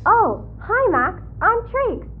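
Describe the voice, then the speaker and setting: Chatty sheltered young girl, blunt and playful, super high bouncy voice, sci-fi radio play. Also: sing-song, slow pace, phone-quality sound, a little hiss or hum, exaggerated voice. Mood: curious, chatty. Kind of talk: audio drama acting.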